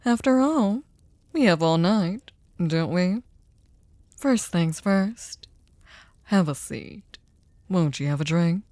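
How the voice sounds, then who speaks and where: A woman plays a possessive, sadistic female vampire in an intimate ASMR drama. Her voice is lowish and mellow, recorded close and dry. She speaks with silky, courtly politeness, teasing and coaxing, often dropping into a breathy whisper. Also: expressive, takes it slowly, pauses often, no room sound. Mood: playful, coaxing. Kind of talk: scripted ASMR roleplay, intimate dramatic monologue.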